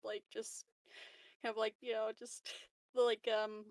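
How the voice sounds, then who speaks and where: A woman's voice: on the high side, flat delivery, slow pace, no room sound. A woman playing a tabletop game, describing her character in casual, hesitant speech. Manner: hesitant, informal.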